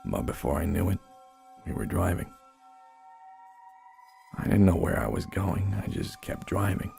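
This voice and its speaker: A man's voice: sits low, expressive, vocal fry. A man narrating a first-person horror story in a somber, dramatic manner. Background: slow dark music.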